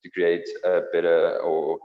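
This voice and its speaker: A teenage schoolboy with a very low, flat voice answers haltingly and keeps restarting his sentences. He speaks through an earphone mic, and the sound is dull with a long echo. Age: teens. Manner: hesitant, restarting. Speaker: student. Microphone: earphone mic, video call. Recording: echoey, dull call audio.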